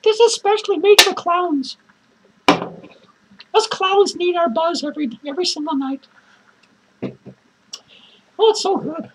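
A woman playing an unhinged, drunk male clown who rambles crudely, cackles manically and lurches between shouts and mutters. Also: very high-pitched, slow pace, pauses often, noisy background. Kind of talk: improvised character monologue to viewers.

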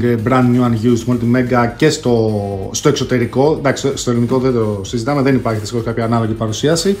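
A man, presenting casually as a video host, chatty and slangy with bits of English collector jargon. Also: medium pitch. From Greece. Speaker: host of a retro console collecting channel. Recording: echoey room, distant mic, music behind.

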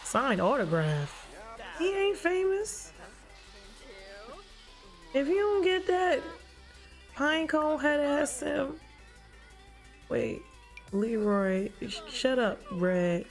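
A young woman livestreaming a life-sim game, chatting casually with her viewers in a lively, joking, profane way on a headset mic. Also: high voice, drawn-out words, pauses often. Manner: lively, joking, talks back to chat, profane slang. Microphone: headset mic at desk. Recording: game music playing behind.

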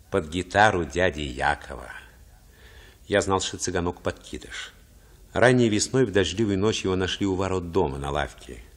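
An actor narrating boyhood memories in a radio drama as a reflective man, husky and expressive, with literary phrasing. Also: low voice.

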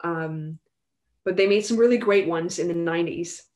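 A young woman from Denmark chats over a video call in English, her native tongue being Danish. Her voice sits slightly low, and she rambles easily with fillers. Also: moderate pace, a little mellow. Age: young adult. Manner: chatty, many fillers and likes. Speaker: guest singer. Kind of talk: casual interview chat. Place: home room indoors. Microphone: headset on video call.

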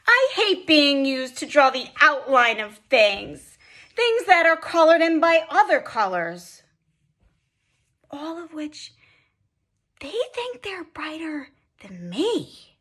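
Woman, aggrieved storybook crayon, drawn-out delivery, dramatic pitch swings. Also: a bit bright. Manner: theatrical, drawn-out, dropping to whispers. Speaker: school staff member reading aloud. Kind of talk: dramatic children's storybook reading.

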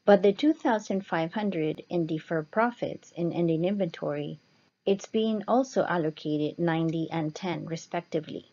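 Woman teacher talking thru slides step by step, kinda low voice, slightly dull lo-fi audio. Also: normal speed, dark tone. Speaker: accounting instructor. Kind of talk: slide lecture, explanatory narration. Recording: dull, muffled lo-fi voice-over.

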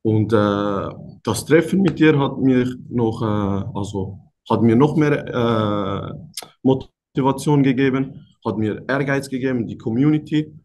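A man's voice: mid-range voice, measured pace, a little hiss or hum. A man in his twenties from Thailand, a guest on a video-call interview, talking hesitantly with fillers. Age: 20s. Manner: hesitant, many fillers, soft laughs. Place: indoor room. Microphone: laptop camera, video call.

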